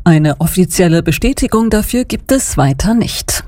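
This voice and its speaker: A woman's voice: lowish voice, expressive, moderate pace, very noisy. A woman with a standard German accent reading scripted radio news as an anchor into a close studio microphone.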